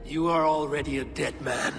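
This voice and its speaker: An actor playing a stern, vengeful Viking warrior in a video game, blunt, commanding and intense. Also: male voice, fairly high pitch, steady tone, moderate pace, vocal fry. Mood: firm. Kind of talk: dramatic video game acting. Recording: long reverb, music behind.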